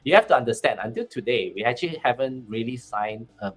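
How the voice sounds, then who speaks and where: A man's voice: mid-range voice, animated, quick pace, fairly bright tone, soft background music. A man with a Malaysian accent, speaking as a guest on a panel, often restarting and repeating words. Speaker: country manager, panel guest. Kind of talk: casual panel talk.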